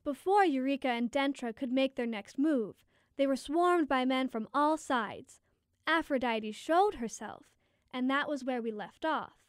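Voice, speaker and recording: An earnest storyteller, played by a woman, narrates a Greek myth. The voice is high and dry, in the scripted manner of audio drama. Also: measured pace, no echo.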